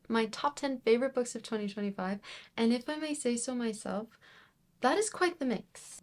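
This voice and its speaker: A woman presenting a casual book wrap-up to viewers in an enthusiastic, expressive way. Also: on the high side. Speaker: book reviewer video host.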